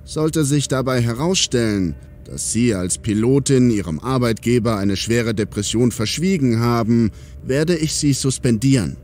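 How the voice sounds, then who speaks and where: A man's voice: mid-range voice, unhurried, a little mellow, slight background noise, lightly acted. A man playing a diplomatic, calculating police commander in a German audio drama. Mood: grave, resigned.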